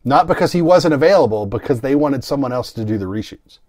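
A man's voice: mid-range voice, expressive, fast talker, a crowd in the background. A man co-hosting a casual film review podcast, talking in a wry, easygoing way. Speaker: podcast co-host.

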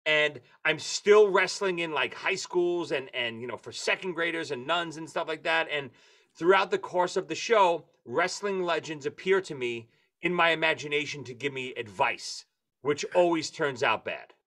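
A man in his forties with a New York accent, telling lively stories as a guest on a video-call interview. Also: fairly high pitch, normal speed. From Brooklyn, New York. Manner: lively, animated storyteller. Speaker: guest drummer and author. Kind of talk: casual interview chat. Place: home room with lights and posters.

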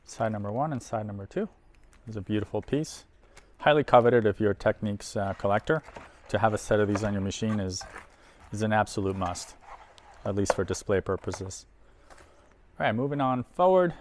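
A middle-aged man giving casual, unhurried unboxing commentary, thinking aloud as he handles things in a workshop. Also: fairly low pitch, a little hiss or hum. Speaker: host of an audio gear unboxing video. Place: indoor workshop at a workbench.

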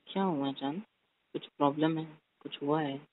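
A man's voice: fairly high pitch, fairly even intonation, moderate pace, lightly acted. Young boyfriend on a thin phone line played by a man. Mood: soft, concerned. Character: young boyfriend, possessive, insistent yet placating. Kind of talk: phone-call couple roleplay, conversational Hindi-English. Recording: thin, phone-like call audio.

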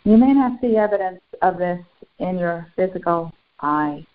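A woman leading a guided spiritual meditation in solemn, formal, deliberate phrases with long pauses. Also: on the low side, lively intonation. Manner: solemn, formal, ceremonial wording. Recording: muffled, phone-like narrow band.

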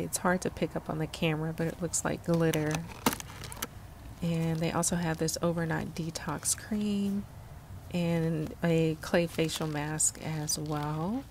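A woman vlogger giving a casual, unhurried, descriptive narration of what she is showing while shopping. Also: sits low, noisy background.

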